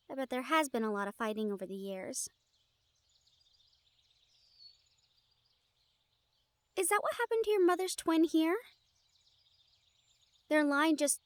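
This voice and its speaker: A woman's voice: high-pitched, dry recording. A woman acting in an intimate audio roleplay as an anxious, tender young woman from a family of hunters, speaking earnestly and hesitantly. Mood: curious, thoughtful.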